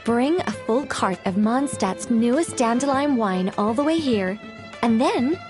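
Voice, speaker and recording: Eccentric lady novelist game character, highish voice, formal n theatrical, very sure of herself, music under it. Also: animated, a little slow. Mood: scheming, self-assured. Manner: theatrical, formal, self-assured. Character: eccentric, theatrical woman novelist with grandiose schemes. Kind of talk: video game voice acting.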